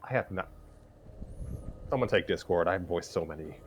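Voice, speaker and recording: A man's voice: deep voice, lively intonation, normal speed, a little hiss or hum. A man hosting a live story reading, switching between scripted narration and casual chat, sometimes stumbling over his lines.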